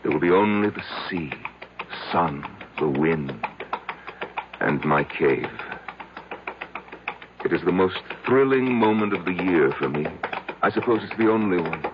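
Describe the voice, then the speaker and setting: An actor playing a bitter, obsessed recluse confessing in a brooding, halting, dramatic way in an old-time radio drama. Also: male voice, deep voice, lively intonation, noisy background. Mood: rapt longing. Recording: dull old radio broadcast, loud music behind.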